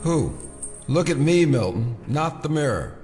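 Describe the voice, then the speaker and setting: An actor playing a skeptical, probing federal agent with a dry, wry manner in an audio drama. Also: male voice, on the high side, very animated, measured pace, darkish tone, faint music behind. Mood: pressing.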